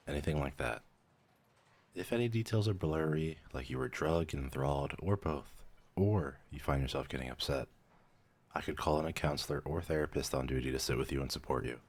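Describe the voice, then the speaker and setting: Casting: male actor as weary vampire clerk-medic for ASMR audio drama, extremely low voice, measured, dry, professional edging into casual. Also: breathy edge, exaggerated voice. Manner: measured, clinical, dryly matter-of-fact, hesitant when surprised. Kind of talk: scripted ASMR roleplay.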